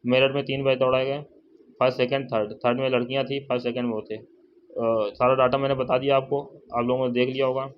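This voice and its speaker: A man's voice: mid-range voice, flat delivery, brisk. A man giving a casual, informal update to viewers, repeating points and addressing them familiarly as brother. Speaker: exam-update YouTuber and channel host. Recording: dull lo-fi sound, faint noise, birds.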